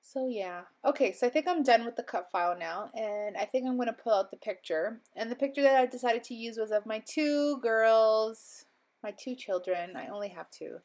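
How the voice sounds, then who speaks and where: Chatty young mom, a bit sniffly. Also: female voice, on the high side, normal speed, darkish tone. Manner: chatty, rambling, many ums, laughs often. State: slightly stuffy, recovering from illness. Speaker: crafting vlogger. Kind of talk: casual voiceover narration. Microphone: voiceover mic.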